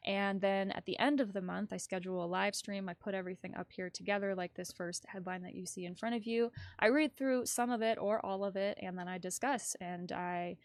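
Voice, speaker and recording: A woman's voice: medium pitch, dry recording, studio quality. A woman hosting a casual livestream news commentary, chatty and rambling as she thinks aloud. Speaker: livestream host.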